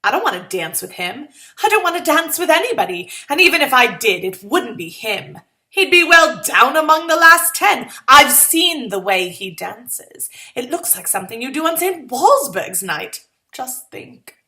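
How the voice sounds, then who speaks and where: A woman's voice: high-pitched, big pitch swings, normal speed, sharp tone, exaggerated voice. A woman reading a short story in the first person as a sardonic, exasperated young woman of the 1920s, with sarcastic, melodramatic delivery. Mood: dreading, disdainful.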